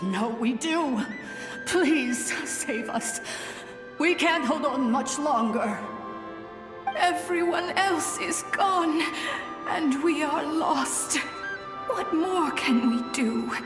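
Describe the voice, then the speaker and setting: A woman's voice: sits high, lively intonation, exaggerated voice. A woman voicing a desperate, pleading ghostly woman soldier. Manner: pleading, slow. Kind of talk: video game voice acting. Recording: echoey reverb over game music.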